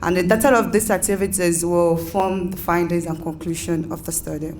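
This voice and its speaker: A woman's voice: on the low side, fairly even intonation, a little fast, darkish tone, slight background noise. A woman from Nigeria with a Nigerian accent, giving an academic conference presentation with many fillers and self-corrections.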